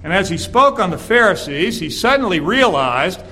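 A man with a raspy voice, a guest preacher delivering an earnest sermon in a church and reading scripture aloud. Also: sits high, very animated, bright, forceful tone. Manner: earnest, measured preaching with filler "uh"s.

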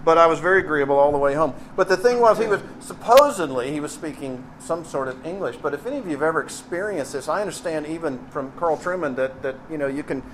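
A middle-aged man with a Texas accent preaching in a conversational, emphatic way, pausing on key phrases. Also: fairly high pitch, expressive, slight background noise, rough recording. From Texas, United States. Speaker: preacher and seminary teacher. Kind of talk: expository sermon with anecdotes.

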